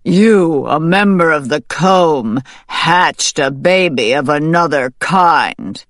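A pompous rooster booms out his words slowly and sternly, as a woman reading the story puts him on. His voice is loud, and it rises and falls dramatically. The sound is dry and close. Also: lively intonation, no room sound. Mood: indignant. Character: stern proud male rooster.

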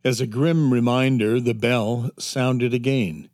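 A man narrating a scripted children's adventure story in a measured storybook delivery. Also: medium pitch, fairly even intonation, darkish tone, soft background music.

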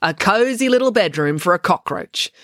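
Bold wry schoolgirl, voiced by a woman narrator; raised pitch, lively. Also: normal speed, no room sound, clean studio sound, natural voice. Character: bold, sharp-witted new schoolgirl.

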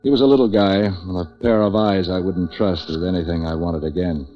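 An American man playing a cynical, street-wise waterfront hustler in deadpan 1940s radio noir style. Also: sits low, a little fast, faint music behind, natural voice. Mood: wry, world-weary. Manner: hard-boiled, deadpan wisecracking. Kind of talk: 1940s radio noir acting, first-person narration. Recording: dull, lo-fi 1940s radio recording.

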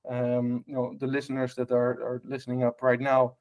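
A man's voice: mid-range voice, completely flat delivery, normal speed, darkish tone. A man from the Netherlands with a Dutch accent, speaking as a podcast guest about hotel technology, full of fillers and restarts and ending points with "right?". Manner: many fillers and restarts, tag questions. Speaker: guest, hospitality tech lead. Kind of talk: casual podcast interview.

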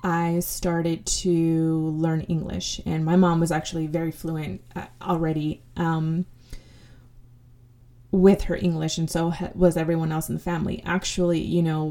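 Young woman podcast host, spanish was her first language, mellow lowish voice, lots of ums. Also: steady tone, moderate pace. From United States. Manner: reflective, many fillers and restarts. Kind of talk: casual personal storytelling.

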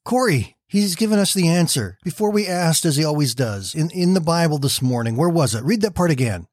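A man reading aloud and voicing a devout, gentle woman prisoner who speaks calmly and insistently. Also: on the high side, brisk, no room sound, studio quality, voice pushed up. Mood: urging.

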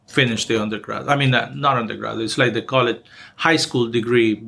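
A man's voice: mid-range voice, fairly even intonation, a little fast, creaky, pushed and bright, slight background noise. A man in his forties from Honduras, speaking English with a Honduran Spanish accent, talks as a music professor in a casual interview, hesitant with restarts but upbeat. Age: 40s.

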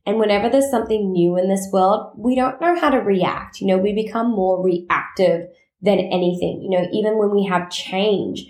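Nasally stuffed-up woman rambling on her podcast, middle pitch, roomy echo. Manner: chatty, rambling and animated, with quick asides. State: nasally congested while recovering from illness. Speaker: podcast host. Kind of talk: casual solo podcast chat.

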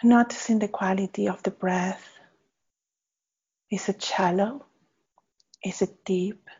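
A woman guides a meditation very slowly and gently, pausing between short phrases. Her voice is mellow and slightly husky. The recording is a little dull. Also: mid-range voice, steady tone. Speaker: meditation guide, faculty member. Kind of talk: guided body scan meditation. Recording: slightly dull, muffled audio.

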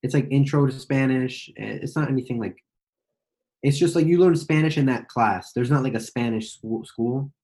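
A man's voice: mid-range voice, normal speed, darkish tone. An American man interviewing casually, talking loosely with lots of "like" and restarts. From United States.

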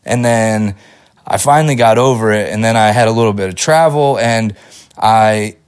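A man's voice: fairly low pitch, moderate pace, clean tone. A man hosting a podcast, talking casually and giving upbeat motivational advice, his voice a little off from a lingering cold. He rambles with fillers and restarts. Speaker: podcast host. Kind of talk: casual solo podcast talk.

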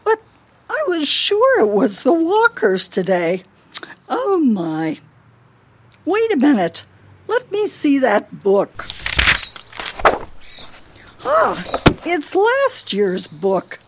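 An actress with an American accent playing a bossy, forgetful, scolding older wife in a radio play. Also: female voice, high voice, wildly swooping pitch, drawn-out words, pauses often, a little hiss or hum, exaggerated voice. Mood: suspicious, fussy. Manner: scolding, fussy.

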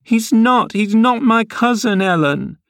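A man voicing a haughty, spoiled little girl in a storybook, imperious and childish, quick to indignant exclaiming. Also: medium pitch, animated, dry recording, pitched above the performer's own voice, natural voice. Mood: distressed, scornful.

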